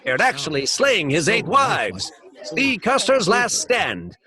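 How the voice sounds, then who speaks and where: An actor playing a brash waxworks barker in old-time radio style, hawking with declamatory patter. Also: male voice, sits high, wildly swooping pitch, slow, crowd noise, full character voice. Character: excitable male waxworks barker, brash sideshow huckster.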